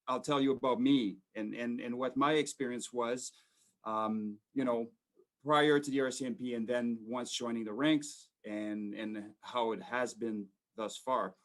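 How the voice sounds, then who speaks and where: A man's voice: medium pitch, steady tone, a little slow, fairly bright tone. A man in his forties speaking over a video call in an everyday mid-range voice. He talks informally to a school audience and rambles, with many fillers and restarts. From Quebec, Canada. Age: 40s. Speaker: police sergeant major speaking to students.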